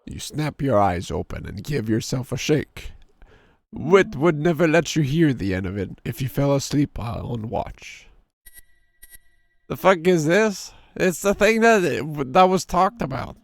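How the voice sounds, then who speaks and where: A hoarse man in his thirties with a sore throat, streaming a game and reading its story text aloud in a deeper storyteller voice. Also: animated, unhurried, no echo, clean studio sound. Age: 30s. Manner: drops into a deep storyteller voice for the narration.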